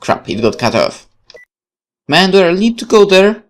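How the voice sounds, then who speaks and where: A gaming YouTuber reads visual novel text aloud and comments on it. The delivery is expressive, with very lively intonation, breaking off to exclaim and question the story. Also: mid-range voice, moderate pace, slight background noise. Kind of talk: let's play commentary, reading game text aloud.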